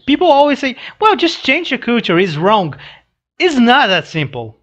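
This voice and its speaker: A young adult man giving casual read-along commentary, halting and restarting his phrases as he goes. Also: extremely high pitch, sing-song, a bit bright, muffled recording. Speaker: reaction video host. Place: small room indoors. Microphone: headset boom mic.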